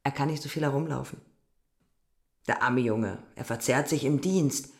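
A woman's voice: rumbling low, normal speed, breathy edge, heavily acted. A woman playing a proud, aloof young villager in a radio play, curt and grave, sometimes dropping to a whisper. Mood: grave, pitying. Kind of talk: literary radio play dialogue.

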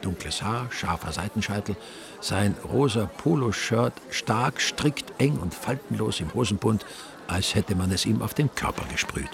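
An actor as the older storyteller of a radio crime comedy, narrating in a measured, wry way. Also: male voice, on the low side, animated, creaky, crowd noise. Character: wry male storyteller of a comic crime novel. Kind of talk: scripted radio drama narration.

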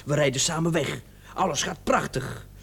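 Smug, high-voiced doctor under suspicion. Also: male voice, normal speed, vocal fry, fairly bright tone, slight background noise. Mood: mocking, playful. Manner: sardonic, theatrical, sometimes stammering in feigned indignation. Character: smug, mocking male doctor suspected of murder. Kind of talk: radio play acting.

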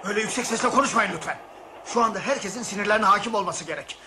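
An actor playing a cautious, commanding train passenger who speaks urgently and reprovingly in a radio drama. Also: male voice, high voice, racing, close to an everyday voice. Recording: noisy radio play recording.

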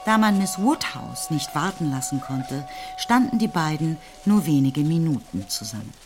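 A woman's voice: deep voice, sing-song, moderate pace. A woman giving scripted literary narration for a radio play. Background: music.

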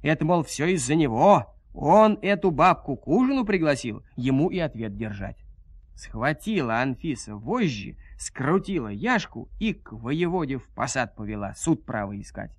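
A man's voice: mid-range voice, expressive, normal speed, slightly rough, no echo. A man narrating a folk tale as a scripted audio story, in a theatrical, folksy and dramatic manner.

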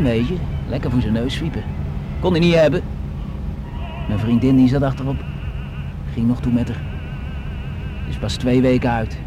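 An actor playing a cocky, menacing young biker in a radio play, using street slang and talking boastfully and needlingly. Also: male voice, mid-range voice. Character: cocky, menacing young motorbike tough with a knife. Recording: radio play with clear vehicle noise.

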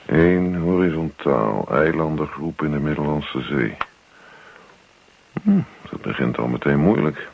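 Gravelly, puzzled husband. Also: male voice, extremely low pitch, animated, measured pace, exaggerated voice. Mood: pondering. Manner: hesitant, dryly sarcastic, muttering. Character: puzzled, sardonic husband, youngish bank man. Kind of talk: radio play acting. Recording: dull, lo-fi old radio play recording.

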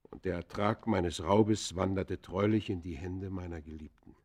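An actor playing an embittered outcast poacher in a German radio play, confessing in formal, declamatory phrases with dramatic swells and hushed falls. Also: male voice, sits low, normal speed. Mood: subdued, rueful. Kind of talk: German radio play acting, literary monologue.

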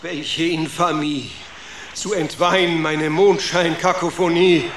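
Stuck up rat composer for a kids radio play, high voice, slow grand rhymes, super echoey. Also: male voice, fairly even intonation, a bit bright. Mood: indignant. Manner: declamatory, florid rhyming speech. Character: pompous rat composer-maestro, vain, easily outraged. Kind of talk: children's radio play acting. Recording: very echoey room, noisy background.

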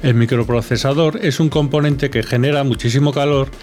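A man with an average-pitched voice narrates in a scripted, educational style. He explains as a presenter, with soft music underneath. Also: steady tone, a little fast.

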